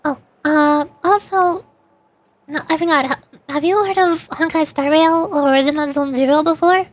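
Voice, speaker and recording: A woman's voice: sits high, fairly even intonation, normal speed. A woman livestreamer chatting casually and playfully about games in a rambling, informal way. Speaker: VTuber streamer.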